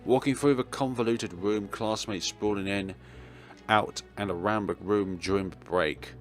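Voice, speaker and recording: A man's voice: on the low side, measured pace, soft background music. A man hosting a let's play, reading dramatic narration aloud with casual, jokey asides.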